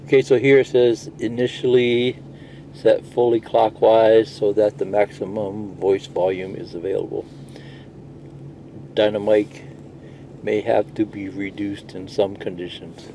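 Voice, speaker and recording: A man's voice: on the low side, little pitch movement, unhurried, warm tone, slight background noise, low-quality recording. A man giving a casual, plain-spoken how-to explanation in a conversational way. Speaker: product demonstrator.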